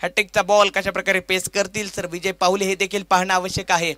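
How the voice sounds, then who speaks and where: A man's voice: high voice, little pitch movement, fast talker, sharp tone, dry recording, clean studio sound. A man with a Maharashtrian accent giving live play-by-play cricket commentary in grandiose, dramatic phrases with repeated stock lines.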